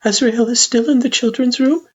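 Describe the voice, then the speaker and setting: A woman's voice: a little high, steady tone, normal speed, darkish tone, dull audio, voice pushed up, lightly acted. A woman voicing a tender, anxious monster mother who speaks with formal care, in a story. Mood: gentle, careful.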